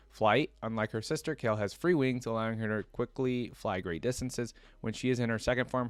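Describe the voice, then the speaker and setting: A man's voice: on the low side, dry recording. A man talking casually and enthusiastically as a reaction video host, commenting and reading text aloud.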